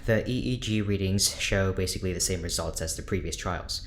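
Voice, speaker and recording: A man's voice: deep voice, fairly quick, natural voice. A man playing a reserved, weary research doctor in an audio drama, speaking in a guarded, hesitant, clinical way.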